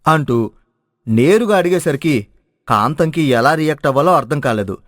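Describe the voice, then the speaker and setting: A man's voice: on the high side, expressive, moderate pace, no room sound, studio quality. A man narrating a scripted moral story.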